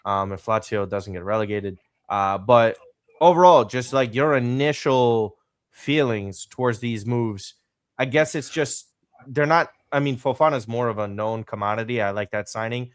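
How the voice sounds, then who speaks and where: A man hosting a casual podcast interview, energetic and rambling as he asks his questions. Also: medium pitch, normal speed, smooth, dry recording, clean studio sound. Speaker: podcast host.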